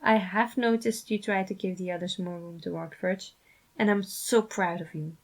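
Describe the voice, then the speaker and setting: A woman voicing a supportive, sincere man in a fan fiction reading, speaking earnestly and gently. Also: mid-range voice, fairly even intonation, normal speed, natural voice. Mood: proud, supportive. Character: supportive, sincere man reassuring his friends.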